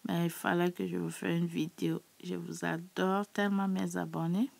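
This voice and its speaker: A woman with a sore, hoarse throat, chatting casually to her subscribers as she walks through a recipe in her kitchen. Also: on the low side, little pitch movement, normal speed, a little mellow. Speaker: cooking channel host. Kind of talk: casual cooking tutorial.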